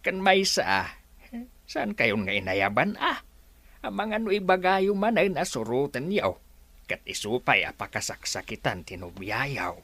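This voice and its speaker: A man doing a cheeky resort worker who needles his boss and jumps wildly up and down in pitch. He speaks slowly in a dry, close sound. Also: very animated, a bit bright, dry recording, heavily acted. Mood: teasing.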